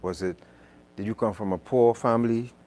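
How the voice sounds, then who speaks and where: A man's voice: lowish voice, fairly even intonation, normal speed, darkish tone. A man hosting a live radio talk show, chatty and prone to repeating words. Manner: conversational, repeats words while searching.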